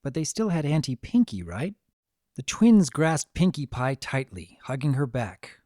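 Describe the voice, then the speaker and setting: Male narrator, dramatic story reading, gentle, animated intonation, dry recording. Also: a little high, a little slow, no room sound. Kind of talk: dramatic scripted fan fiction narration.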